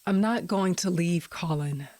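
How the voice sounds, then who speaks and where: A woman's voice: lowish voice, a little slow, dark tone, no echo, natural voice. A woman playing a practical, hurt estranged wife in an audio drama, speaking with hesitant, dry understatement.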